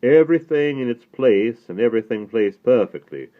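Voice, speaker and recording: A man voicing a learned, courtly rabbi with a Southern accent, speaking deliberately and formally in a storybook reading. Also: medium pitch, lively intonation, voice pushed up, lightly acted. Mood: gracious praise. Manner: deliberate, soft-spoken, grandly formal. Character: courtly, learned, severe-looking but warm Southern rabbi. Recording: thin, phone-like narrow band.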